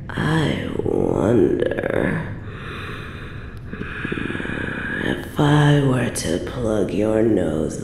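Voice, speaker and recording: A woman playing a cruel, possessive medieval evil queen in an audio drama, speaking in regal, mocking, theatrical and formal phrases. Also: low-pitched. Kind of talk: scripted roleplay audio drama. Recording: roomy reverb, music underneath.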